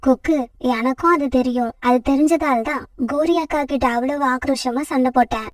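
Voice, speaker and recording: A woman as a high-voiced, protective mother sparrow. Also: normal speed, dry recording, recorded from a distance. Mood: caring. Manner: firm, motherly. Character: protective, trusting mother sparrow, brave for her son. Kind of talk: children's cartoon story acting.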